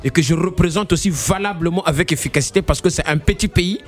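A man with a fairly high voice, chatting as a guest on a radio show. He is emphatic and repeats his phrases. Soft music sits behind him. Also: fast talker. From Brazzaville, Congo. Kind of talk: conversational radio interview.

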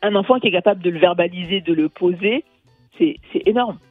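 A woman's voice: on the low side, a little fast, breathy edge, no room sound. A woman from Mali who grew up in France, a guest coach explaining things earnestly in a radio interview, with many fillers and restarts. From Mali, raised in France. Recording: phone-like line, dry, faint music.

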